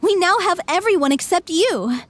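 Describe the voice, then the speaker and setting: A woman's voice: very high-pitched, expressive, moderate pace, a bit bright. An actress playing a playful, confident young woman of a theater troupe who teases her friends, in a video game. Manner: teasing, upbeat.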